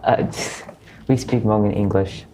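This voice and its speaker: A young man with a slightly low voice talks hesitantly in a relaxed discussion, stopping to fix his words. A faint hiss sits behind him. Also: slow, rough recording. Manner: hesitant, many fillers and self-corrections. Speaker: student panelist. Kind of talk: casual panel discussion.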